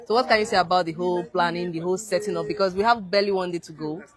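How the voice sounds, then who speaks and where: A man's voice: high-pitched, racing. A man interviewing people on site at a large venue, polite and addressing guests as sir and ma. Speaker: interviewer with camera. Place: large outdoor expo venue. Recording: loud crowd behind. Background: crowd noise.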